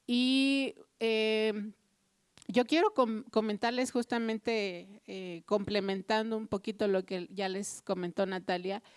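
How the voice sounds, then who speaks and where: A woman whose first language is Purépecha, speaking as a translator at a book presentation in earnest, reflective formal remarks, often pausing on "eh". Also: medium pitch, steady tone, clean tone, no room sound, clean studio sound. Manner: earnest, reflective, with frequent "eh" fillers. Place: official venue before an audience.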